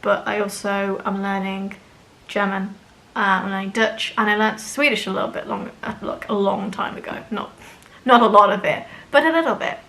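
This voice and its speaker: A woman's voice: medium pitch, steady tone, normal speed, creaky, pushed and bright, rough recording. A woman hosting a spiritual channel, talking frankly and casually to camera, her sentences full of "like" and "you know". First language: English. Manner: chatty, frank, with many "like" and "you know" fillers.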